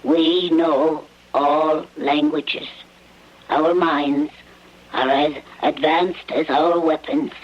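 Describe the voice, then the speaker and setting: A small, wary alien visitor for a radio drama. The speech is extremely slow, formal and halting, with a husky, breathy tone that carries menace. The recording is dull and lo-fi. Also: mid-range voice, steady tone. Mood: solemn warning. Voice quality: husky, breathy, often near a whisper. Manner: halting, stilted, formal phrasing without contractions.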